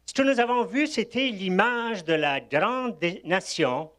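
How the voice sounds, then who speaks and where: Older man, guest preacher, high voice, lively rising and falling delivery, anecdotal sermon. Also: unhurried. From Egypt. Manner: warm storytelling with asides and chuckles.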